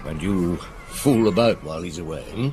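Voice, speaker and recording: A man's voice: sits low, lively intonation, normal speed, a little mellow, slight background noise, poor audio. A man playing a genial, shrewd middle-aged detective in a radio drama, questioning in an avuncular, probing way. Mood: gentle, probing.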